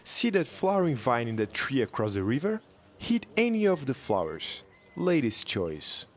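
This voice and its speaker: An actor playing a gruff, skeptical local villager in a jungle adventure audio drama, deliberate and ominous. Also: male voice, medium pitch, very animated. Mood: challenging.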